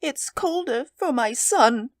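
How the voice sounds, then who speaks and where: A man voicing a frantic, grieving old woman in a storybook reading, shrill, wild and pleading. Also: expressive, slow pace, dark tone, no echo, studio quality, heavily acted. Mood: weeping.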